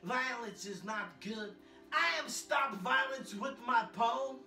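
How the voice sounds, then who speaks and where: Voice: medium pitch, takes it slowly, sharp tone. A performer voicing a boastful, nervous male immigrant poet who talks in broken English and preaches in blunt, repetitive lines, in a prerecorded comedy bit. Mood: preaching. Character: boastful male immigrant poet named Sergio, anxious about deportation. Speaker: comedy character reciting poems. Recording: echoey, distant, music underneath.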